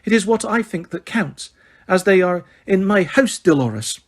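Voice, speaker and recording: A man's voice: high-pitched, very animated, brisk, darkish tone, slightly rough, pitched above the performer's own voice, exaggerated voice. A man voicing a stern, strict older female professor who scolds in a clipped, furious way.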